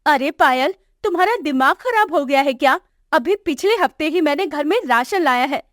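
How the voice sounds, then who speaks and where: Woman playing haughty, high-pitched scolding young daughter-in-law. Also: lively intonation, normal speed, fairly bright tone, dry recording, clean studio sound. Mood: scornful, angry. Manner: scornful, complaining. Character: arrogant, scolding young working daughter-in-law with a sharp tongue. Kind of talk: acted moral story dialogue for animation.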